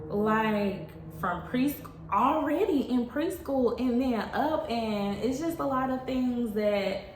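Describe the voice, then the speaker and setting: A woman's voice: mid-range voice, a little hiss or hum. A woman in her thirties, a stay-at-home mom, chatting casually to camera about her days at home, addressing viewers as y'all. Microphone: phone camera. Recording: roomy home with faint ambience.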